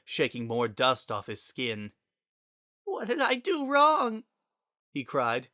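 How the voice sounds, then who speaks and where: Bright-voiced man narrating, very dry sound. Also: on the low side, dry recording. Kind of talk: dramatic scripted narration of a fantasy story.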